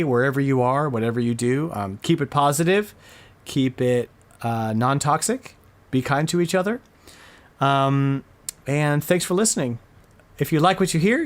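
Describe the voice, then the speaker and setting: A man hosting a podcast in an informal, enthusiastic, slangy way, chatting casually about retro games. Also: mid-range voice. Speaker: podcast host.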